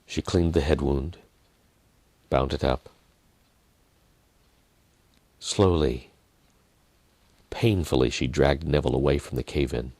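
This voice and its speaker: A man reading a dramatic story in a measured manner, with deliberate pauses and softened phrases. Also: a bass voice, no echo. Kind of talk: dramatic scripted narration.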